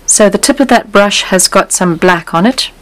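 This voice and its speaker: Calm woman teaching acrylic painting. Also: mid-range voice, normal speed, rough recording. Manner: calm, explanatory, repeats instructions. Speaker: painting instructor. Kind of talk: step-by-step painting tutorial. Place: studio table, overhead camera on canvas.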